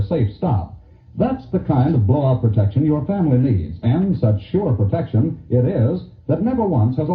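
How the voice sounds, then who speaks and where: A man announcing a 1940s radio commercial in a scripted sales style. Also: medium pitch. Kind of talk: 1940s radio advertising read. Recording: tinny, echoey 1940s radio recording.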